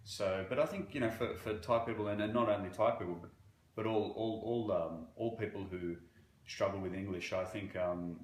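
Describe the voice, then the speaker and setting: A man from Croatia working as a banking relationship manager, answering interview questions in a halting way with many fillers. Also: fairly low pitch, roomy sound, slight background noise, rough recording. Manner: halting, with frequent fillers and restarts.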